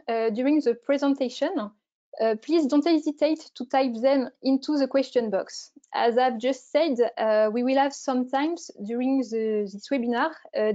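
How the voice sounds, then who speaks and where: Woman host, high but mellow voice, formal intro, muffled call sound. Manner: formal, with frequent "uh" fillers. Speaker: event manager hosting a webinar. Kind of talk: scripted webinar introduction. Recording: muffled webinar audio.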